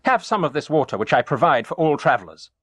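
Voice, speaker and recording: Hot-tempered storybook man, acted by a male narrator, insistent calling, pitched up, lively. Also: fast talker, husky, no echo, full character voice. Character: easily angered man calling out to travellers.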